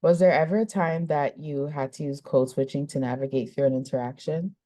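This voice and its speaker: A young woman hosting a podcast interview, friendly, reading study summaries in a measured way before asking questions. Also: low voice, fairly even intonation, warm tone. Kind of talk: podcast interview, partly read from a script.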